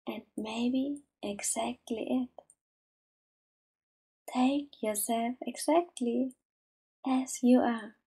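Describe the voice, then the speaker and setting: Female meditation guide, higher-pitched but mellow, gentle and unhurried, soft to near-whisper. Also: husky. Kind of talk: slow soothing guided meditation.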